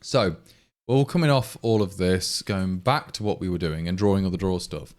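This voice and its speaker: A man's voice: sits low, moderate pace, darkish tone. An English man with an East Midlands accent, chatting casually to stream viewers in a rambling, exasperated way with many restarts. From England. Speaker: livestream programmer.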